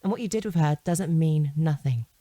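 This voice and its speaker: An actress playing a composed, probing woman in a naturalistic audio drama, measured, pointed and persistent. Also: low voice, animated, dark tone, dry recording. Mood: probing, firm. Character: composed, probing woman questioning an evasive man.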